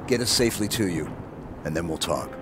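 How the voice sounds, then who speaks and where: Man playing a very deep-voiced stoic supersoldier. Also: very noisy, close to an everyday voice. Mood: resolute. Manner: terse, deadpan, measured. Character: stoic male armoured supersoldier commander, resolute and unshakeable. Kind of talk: scripted video game acting. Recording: loud music under the voice.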